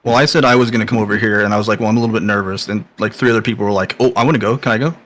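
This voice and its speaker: Lowish-voiced Midwestern American man, joking casually. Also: fairly even intonation, very fast, a bit bright. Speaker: sim racing driver guest.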